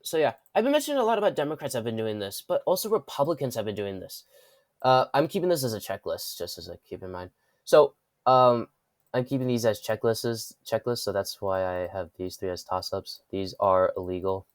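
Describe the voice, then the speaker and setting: A man's voice: on the low side, lively intonation, fairly quick, no room sound, studio quality. A man with a congested, stuffy voice giving casual political commentary, rambling, correcting himself and dropping wry asides. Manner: rambling, with frequent restarts and self-corrections. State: congested from a cold.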